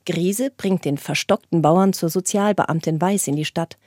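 Female radio reviewer, scripted and articulate; lowish, soft-toned voice, dry studio sound. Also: normal speed, no room sound. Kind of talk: scripted narration, cultural review. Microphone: close studio microphone.

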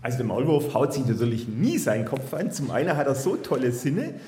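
A man's voice: medium pitch, very animated, brisk, warm tone. A man speaking as a zoology expert, explaining things plainly and reassuringly to a young audience. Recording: echoey room.

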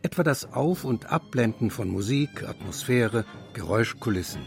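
Brief: male documentary narrator, even mid voice, measured scripted reading over faint music. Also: mid-range voice, creaky. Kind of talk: scripted radio feature narration.

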